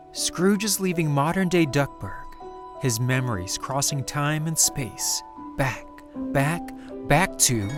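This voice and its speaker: A man playing a solemn, poetic storybook narrator, delivering scripted dramatic lines in a grandiose, theatrical way. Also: fairly high pitch. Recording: music clearly behind the narration.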